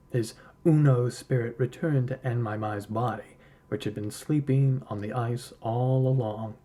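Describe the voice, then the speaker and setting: A man reading a literary story aloud as a narrator, in a measured, solemn storytelling manner. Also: mid-range voice, mellow. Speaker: podcast host reading a short story aloud.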